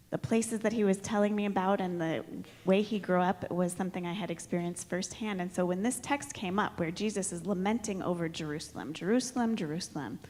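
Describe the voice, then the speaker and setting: A woman's voice: fairly low pitch, a little mellow. A young adult woman leading worship, introducing a guest speaker in a chatty, informal, self-deprecating way. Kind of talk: friendly church introduction. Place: church sanctuary. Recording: long church reverb.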